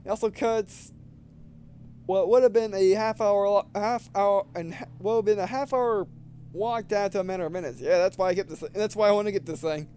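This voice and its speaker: A man reading game text aloud and commenting casually as he plays, halting and stumbling over words, with some background noise. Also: high-pitched, steady tone, mellow. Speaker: gameplay video host.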